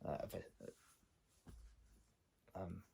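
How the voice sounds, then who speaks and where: A man giving an informal, unscripted critique in a halting, hesitant way, with many pauses and repeated words. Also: dark tone. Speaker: audiobook narrator and manuscript critic.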